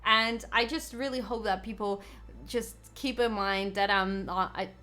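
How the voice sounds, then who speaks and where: A young woman from the Netherlands with a Dutch accent, chatting excitedly and giggling on a gaming live stream as she reads out viewers' comments. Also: mid-range voice, measured pace. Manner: excitable, giggly, reading chat aloud. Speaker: gaming livestreamer. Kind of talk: casual live stream chat.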